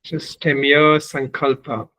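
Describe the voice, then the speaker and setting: A man leading a guided meditation as a teacher, speaking in measured, deliberate phrases with long pauses between them. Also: on the high side, flat delivery, sharp tone, low-quality recording.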